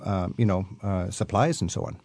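A man's voice: sits low, normal speed, creaky, darkish tone, dry recording. A man hosting a radio interview, asking curious, enthusiastic questions. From United States. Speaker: host.

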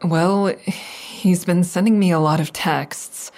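An actress playing an anxious, hesitant woman making a halting call for help in an audio drama. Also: fairly low pitch, steady tone, darkish tone. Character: anxious, hesitant woman reporting a stalking ex-boyfriend. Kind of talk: audio drama acting, naturalistic phone dialogue.

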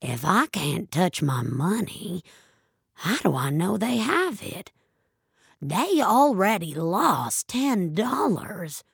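Woman playing a halting, anxious elderly lady. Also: on the low side, sing-song, gravelly, slightly rough, no echo, studio quality, heavily acted. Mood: worried. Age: older. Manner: halting, pleading, old-fashioned. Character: anxious, crotchety elderly woman, baffled by the digital world.

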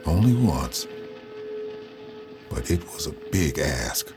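A man's voice: very deep voice, big pitch swings, slow pace, music in the background, heavily acted. An actor playing an older man recounting his supernatural past in vivid, colloquial first-person narration for an audio drama. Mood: reflective.

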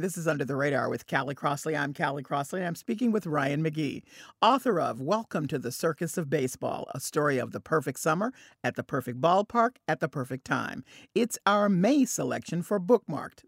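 A woman's voice: deep voice, lively intonation, brisk, breathy edge, dry recording, clean studio sound. A woman hosting a conversational radio interview, chatty and emphatic in how she talks.

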